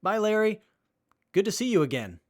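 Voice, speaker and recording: A man's voice: high-pitched, very animated, normal speed. A man playing an earnest, knowledgeable young survivor in a post-apocalyptic audio drama, speaking matter-of-factly. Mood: hopeful.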